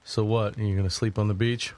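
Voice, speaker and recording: A man playing a guarded, easygoing young hiker who narrates his own journey in a low-key, conversational way. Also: little pitch movement, fast, darkish tone, close to an everyday voice. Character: John, easygoing but guarded young man hiking long-distance. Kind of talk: first-person audio drama narration and dialogue. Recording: music behind the voice.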